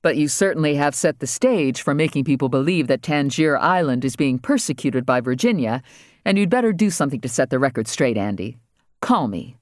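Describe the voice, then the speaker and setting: An audiobook narrator playing a female police superintendent, skeptical and no-nonsense, with a brisk, authoritative manner. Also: medium pitch, lively intonation, dry recording, studio quality, close to an everyday voice. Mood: stern.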